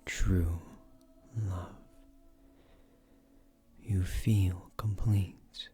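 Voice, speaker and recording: A man voicing an ancient, gentle guardian of a magic tree in a romantic fantasy roleplay, speaking softly and breathily in formal, earnest, persuasive words with soft hesitations. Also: fairly low pitch, vocal fry, full character voice.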